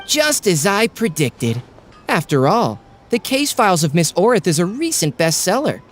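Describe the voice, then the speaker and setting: A confident, knowing townsperson from an anime game, voiced by an actor. The voice explains things with self-assurance and very lively intonation. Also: medium pitch, normal speed, soft background music, close to an everyday voice. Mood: smug, enthused.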